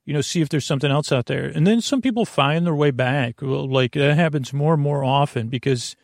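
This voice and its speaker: A man hosting a sleep podcast with a creaky voice, talking in a meandering, halting, reassuring way that often repeats and trails off mid-thought. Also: a little high, dry recording, clean studio sound. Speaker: podcast host. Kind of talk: rambling bedtime sleep podcast intro.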